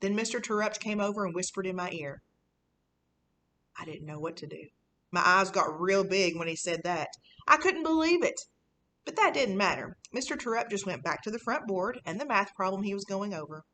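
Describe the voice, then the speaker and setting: A woman's voice: mid-range voice, lively intonation, normal speed, pushed and bright. A woman reading a children's book in the voice of a sneaky, boastful fifth-grade boy, telling his story casually and conspiratorially. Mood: impressed, pleased. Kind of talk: first-person children's audiobook reading.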